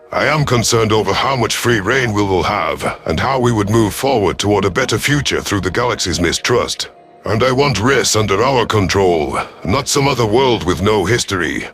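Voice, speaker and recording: A man narrating a sci-fi story in character as a stern, calculating alien commander, formal and deliberate, over music. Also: fairly low pitch, a bit bright.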